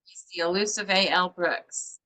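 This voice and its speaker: Low-voiced, forceful woman host. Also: steady tone, moderate pace, rough recording. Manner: chatty, rambling, many fillers. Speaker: publisher hosting a book show. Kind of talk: casual interview chat. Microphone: video call.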